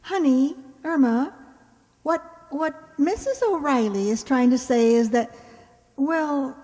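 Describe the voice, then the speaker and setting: Woman acting soothing sensible young woman, unhurried, very animated pitch, evasive, radio comedy, hall reverb. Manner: coaxing, stalling delivery. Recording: hall reverb on stage-like recording.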